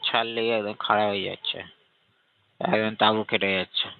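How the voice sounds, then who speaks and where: A man with a gravelly voice chatting casually and intimately, in a complaining, coaxing way, over a tinny phone line. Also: fairly low pitch, level tone, fairly quick, no echo.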